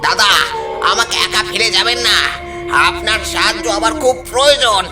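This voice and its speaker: A man voicing the ghost of a humble village carpenter and doting father, polite, plaintive and earnest, in an animated horror story. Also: sits high, moderate pace, close to an everyday voice. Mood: sorrowful pleading. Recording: music behind the voice.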